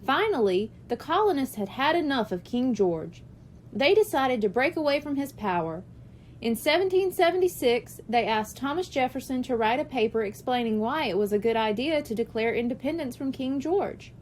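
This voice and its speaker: Woman reading as playful, high-voiced schoolteacher man. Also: lively intonation, normal speed, darkish tone, a little hiss or hum. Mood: patient, explaining. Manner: explanatory, teasing. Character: cheerful male first-grade teacher, playful, patiently explaining history. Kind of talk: children's audiobook character reading.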